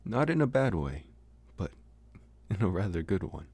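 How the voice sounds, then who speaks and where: A man playing a smitten young college vampire in an intimate, hesitant, soft-spoken ASMR roleplay. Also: low-pitched, big pitch swings, breathy edge, no echo, heavily acted. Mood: intrigued, intimate. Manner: hesitant, soft-spoken, slow with long pauses. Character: young male college vampire, smitten, calm, gently possessive. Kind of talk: ASMR roleplay, intimate one-sided dialogue.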